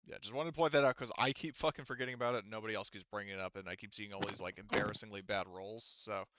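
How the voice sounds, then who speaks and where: A man's voice: on the low side, fairly even intonation, racing, a bit bright, no echo. A man speaking as game master, casually explaining rules and options in a rambling, conversational way with some swearing, on slightly dull voice-chat audio. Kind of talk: casual tabletop game narration.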